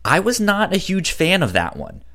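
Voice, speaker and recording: A man with a stutter and a halting delivery, casually discussing films as a podcast co-host. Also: high voice, huge pitch swings, pushed and bright. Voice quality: stutter, repeats first sounds of words. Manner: halting, hesitant delivery with frequent restarts.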